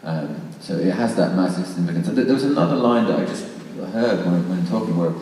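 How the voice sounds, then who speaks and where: A man's voice: low-pitched, expressive, brisk, mellow. A man speaking informally and thoughtfully, explaining his views in a panel discussion. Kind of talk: spoken panel commentary, informal. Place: large reverberant room at a public discussion. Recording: very echoey hall, distant mic, faint noise.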